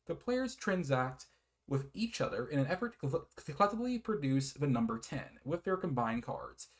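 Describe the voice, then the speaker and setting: An American man giving a casual, rambling video game review, often correcting himself and apologizing as he shares his enthusiasm. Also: mid-range voice. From United States.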